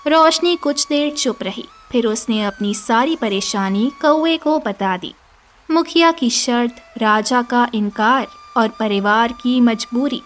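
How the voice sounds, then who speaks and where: A woman narrating an animated children's story as a scripted storyteller. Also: fairly high pitch, slow, a little hiss or hum. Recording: music behind the voice.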